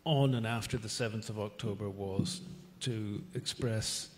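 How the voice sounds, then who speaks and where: A man with a Northern Irish accent, speaking as a diplomat on a panel, measured and deliberate. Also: fairly low pitch, steady tone. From Northern Ireland. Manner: measured, deliberate, with occasional repeated words. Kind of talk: formal panel discussion. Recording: long hall reverb.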